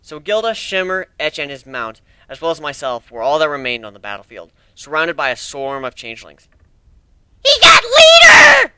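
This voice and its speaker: A man's voice: mid-range voice, expressive, brisk, hardly any pauses, bright, forceful tone, smooth, dry recording. A man giving a dramatic first-person narration of a fantasy action story.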